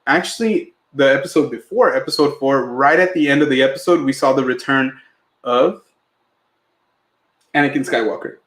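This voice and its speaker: A man's voice: mid-range voice, normal speed, pauses often, a bit bright, roomy sound. A man co-hosting a live podcast, talking in a chatty way with lots of fillers and restarts; his family is Mexican and his first language was Spanish. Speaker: podcast co-host.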